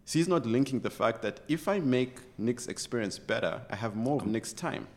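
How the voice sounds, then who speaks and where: A man's voice: medium pitch, lively intonation, moderate pace. A middle-aged man from Uganda, an executive guest speaking earnestly and passionately in an interview, often restarting his sentences. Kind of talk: conversational interview.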